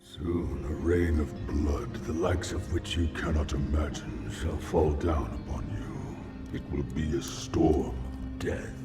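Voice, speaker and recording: A man playing an ominous, solemn dark warrior who foretells doom in portentous fantasy style. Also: a bass voice, slow pace. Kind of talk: slow portentous fantasy acting. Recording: distant, echoing, music behind.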